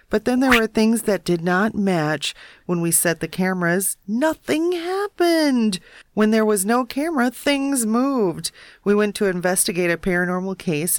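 A woman's voice: lowish voice, no echo. A woman co-hosting a podcast, reading paranormal news stories in a casual, matter-of-fact way. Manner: reads stories matter-of-factly, breaks into laughter at jokes.

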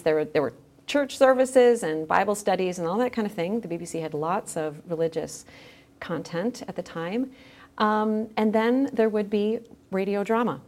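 A woman's voice: lowish voice, darkish tone. A middle-aged woman speaking as a scholarly guest in a thoughtful podcast interview. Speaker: guest, theology scholar with drama background.

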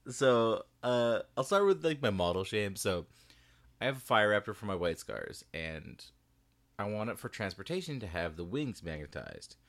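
A man's voice: fairly low pitch, sing-song, normal speed. A man co-hosting a podcast, chatting casually and animatedly, laughing often as he talks, recording in a small bedroom. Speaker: podcast co-host. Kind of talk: casual chat about a hobby. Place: small bedroom with the door shut.

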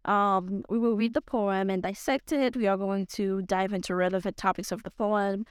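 A woman's voice: medium pitch, fairly even intonation, moderate pace, smooth, no echo, clean studio sound. A young woman with a French accent hosting a podcast, talking in a hesitant way with many fillers and restarts. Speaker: podcast host. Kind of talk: casual podcast chat.

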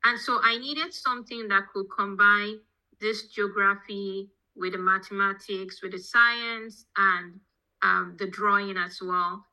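She is a Nigerian-accented woman speaking as an interview guest. Her voice is strikingly bright and forceful, with a keen edge to it. The delivery is conversational, like an academic explaining her own path. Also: medium pitch, steady tone, measured pace, rough recording. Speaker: podcast guest, petroleum engineering professor. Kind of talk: conversational interview answers, telling own background.

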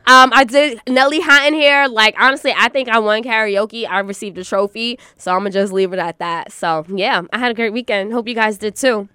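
A woman with a slightly raspy voice, chatting casually as a radio show host. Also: on the high side, brisk, hardly any pauses, sharp tone, dry recording, clean studio sound.

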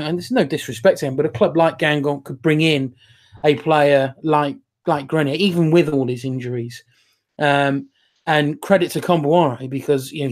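A man giving football analysis in a measured, hesitant way, filling pauses as he goes. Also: fairly high pitch, a little mellow.

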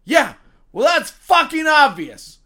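An actor as a wounded, resentful young man in an audio drama. His voice is very high and piercing, swinging widely in pitch as he lays out his grievances. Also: sing-song, slow, sharp tone, heavily acted. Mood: furious, accusing. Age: young adult. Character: bitter grieving young man, furious grown son.

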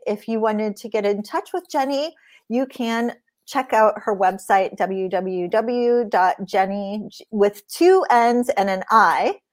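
A woman hosting a podcast, chatting with a guest over a video call in an upbeat, affirming way. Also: fairly high pitch. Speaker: podcast host. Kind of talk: casual interview chat.

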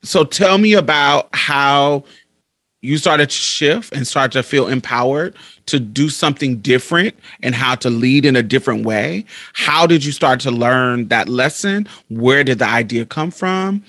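A male host with a bright, forceful voice presses his points home, stacking phrases one after another. He sounds close and dry, with no room echo. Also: medium pitch, a little slow. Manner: emphatic, building points with repetition. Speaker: podcast host. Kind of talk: conversational interview.

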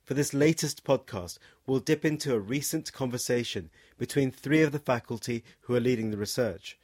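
Man reading a smooth podcast intro. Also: medium pitch, normal speed. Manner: smooth, even read introduction. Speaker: podcast narrator. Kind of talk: scripted narration.

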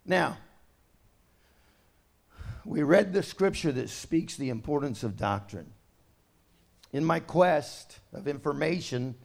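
Man, pastor, sermon, conversational preaching, soft asides, loud emphatic points, raised pitch. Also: creaky.

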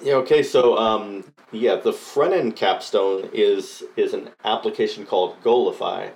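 A middle-aged man from Costa Rica with a deep, low-pitched voice. He answers interview questions in a halting way, full of fillers and restarts as he gathers his thoughts. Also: gravelly, darkish tone. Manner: hesitant, many fillers and restarts. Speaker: coding bootcamp graduate.

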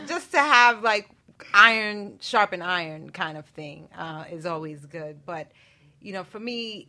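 A woman's voice: medium pitch, pushed and bright. A woman chatting casually about relationships in a panel talk, often repeating and restarting her phrases.

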